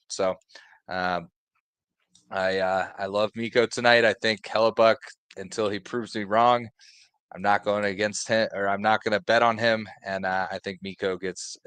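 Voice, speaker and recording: Halting man giving hockey picks. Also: lowish voice, a bit bright, no echo. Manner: halting, many ums and uhs. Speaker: betting analyst. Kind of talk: casual betting picks.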